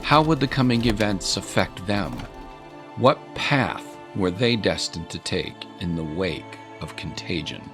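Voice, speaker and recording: Deep-voiced male story narrator. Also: expressive, measured pace. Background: music.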